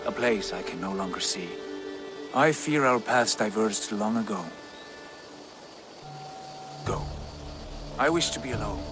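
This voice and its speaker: An actor playing a solemn, world-weary Viking lord in a video game cutscene, speaking in a grave, subdued manner. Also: male voice, lowish voice, lively intonation, unhurried, long pauses, music in the background. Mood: bleak, withdrawn. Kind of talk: dramatic game cutscene acting.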